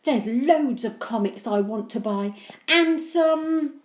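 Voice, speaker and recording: Storybook scheming boy, cheeky and exclamatory, lifted pitch, lively swoops; played by a woman. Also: slow, reverberant. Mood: demanding. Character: scheming, indignant young boy, horrid older brother.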